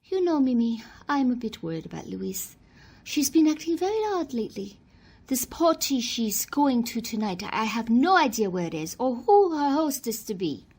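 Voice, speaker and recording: A woman's voice: on the high side, big pitch swings, moderate pace, darkish tone, breathy edge, full character voice. A woman playing a prim, imperious society social secretary, emphatic and proper, in a radio drama. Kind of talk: old-time radio drama acting.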